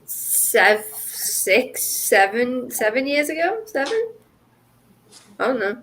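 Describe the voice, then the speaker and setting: A woman's voice: high-pitched, very animated, takes it slowly, fairly bright tone, a little hiss or hum, poor audio. A young woman, chatting casually and rambling as she second-guesses herself. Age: 20s. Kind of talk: casual chat on a group call.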